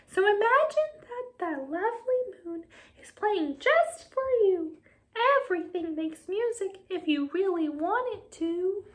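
A woman voicing a gentle, wise little male cricket in a storybook read-aloud, soft and coaxing. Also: very high voice, voice pushed up, full character voice.

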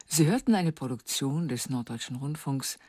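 A woman's voice: rumbling low, animated, normal speed, mellow, slightly rough, dry recording, clean studio sound. A woman working as a radio announcer, reading scripted credits.

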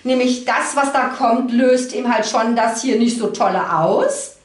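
High-voiced, unhurried woman in an echoey room. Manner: conversational, reassuring, checks in with frequent tag questions. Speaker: card reader, host. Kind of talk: informal spiritual card reading to viewers. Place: indoor room, at a card table.

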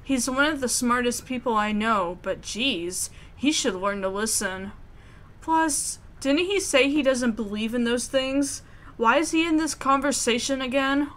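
Expressive woman reading a game aloud. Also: high voice, a little slow. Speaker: gamer reading a visual novel aloud. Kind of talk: narration with casual commentary.

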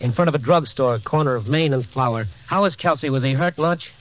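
A man's voice: mid-range voice, a little fast, slight background noise, lightly acted. An actor playing a world-weary, compassionate American newspaperman narrating a vintage radio drama. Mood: uneasy. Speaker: lead character and narrator. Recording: vintage radio recording, loud music bed.

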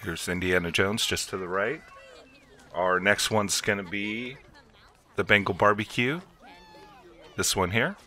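Low, bright-voiced man doing game commentary. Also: measured pace, long pauses, soft background music. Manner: instructional, step-by-step narration. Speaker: gameplay video host. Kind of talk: video game walkthrough commentary.

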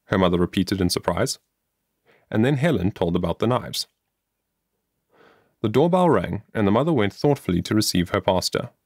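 A man's voice: lowish voice, lively intonation, normal speed, long pauses, a little mellow, dry recording, studio quality. A man narrating a children's moral story as an audiobook, reading earnestly and expressively from a script.

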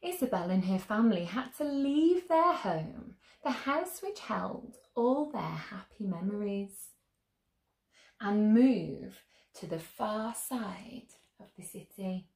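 A young woman reading a picture book aloud to children as a storyteller, expressively, with gentle questions to her listeners. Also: mid-range voice, expressive, slow. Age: young adult. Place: small room at home, indoors. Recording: roomy indoor echo.

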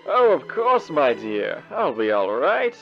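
Man acting a creaky, pompous demon gentleman. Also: a little high, wildly swooping pitch, slow pace, highs cut off, soft background music, full character voice. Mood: guarded, polite. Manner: pompous, formal, clipped indignation. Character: pompous, prickly, old-fashioned male demon gentleman. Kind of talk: theatrical comic dub acting.

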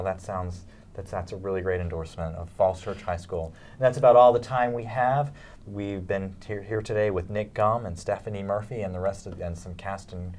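A man's voice: low voice, slight background noise. A middle-aged man hosting a casual interview. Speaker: host, interviewer. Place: indoor room with dark backdrop, seated.

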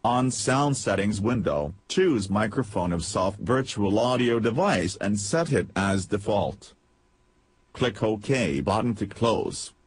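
A man narrating a software tutorial step by step, reading from a script in a stilted way. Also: on the low side, animated, takes it slowly, breathy edge.

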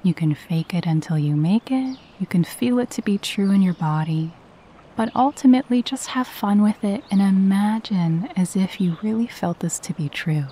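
A woman guiding a meditation in a soft, breathy voice, with a gentle, soothing and unhurried delivery. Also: mid-range voice, animated, slight background noise. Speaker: meditation guide. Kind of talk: guided meditation with spoken affirmations.

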